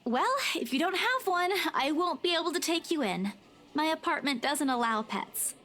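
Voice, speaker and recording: A woman playing a flustered, defensive but soft-hearted young woman in a video game, with a stammering, huffy manner. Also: sits high, lively intonation, moderate pace. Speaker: voice actor in a visual novel. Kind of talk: video game character acting.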